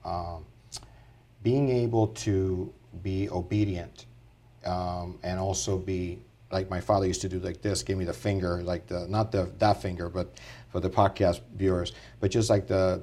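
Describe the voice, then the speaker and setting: A man's voice: low-pitched, normal speed. Earnest Puerto Rican-born man telling his story. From Puerto Rico. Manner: earnest, animated, rising in energy as he tells his story. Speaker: podcast guest, speaker. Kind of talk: casual interview storytelling.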